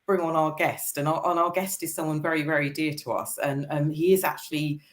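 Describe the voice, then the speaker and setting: Middle-aged British co-host, lowish voice, chatty and repetitive. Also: female voice, little pitch movement, fairly quick. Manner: chatty, repeats herself, apologetic asides. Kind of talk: casual chat.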